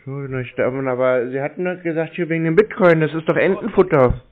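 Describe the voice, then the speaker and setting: A man's voice: a little high, fast. A man chatting casually on a call, playing clueless as he handles a telemarketer. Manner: feigned cluelessness. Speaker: scambaiter taking scam calls. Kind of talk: casual phone chat. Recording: narrow phone-call audio.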